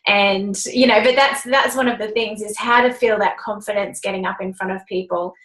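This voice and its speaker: A woman's voice: medium pitch, steady tone, moderate pace, a bit bright, roomy sound, faint music behind, poor audio. A woman with a youthful-sounding voice hosting a group video call, laughing often and encouraging the others. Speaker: host. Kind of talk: casual group video chat.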